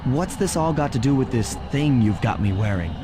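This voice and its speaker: A man's voice: mid-range voice, animated, moderate pace, lightly acted. A man voicing a cool, cocky young raccoon thief in a cartoon video game, with wry confidence. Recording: voice under loud music. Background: loud game music.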